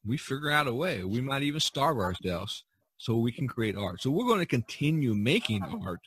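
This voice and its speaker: A man talking casually as a podcast host, halting and repeating himself, often dropping in "you know". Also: mid-range voice, very animated, fairly bright tone.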